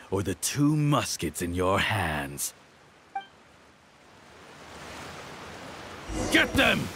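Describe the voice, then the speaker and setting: Game villain: pompous middle-aged baron, cruel and mocking, slow delivery with sweeping pitch, music beneath. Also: male voice, a bit bright, full character voice. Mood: arrogant threat. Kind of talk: theatrical anime game villain acting.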